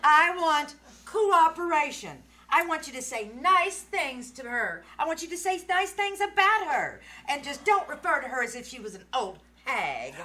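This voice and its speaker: A woman's voice: high-pitched, big pitch swings, moderate pace, pushed and bright, full character voice. A woman playing a fussy, well-meaning 1940s mother in old-time radio comedy, earnest and scolding. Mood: firm.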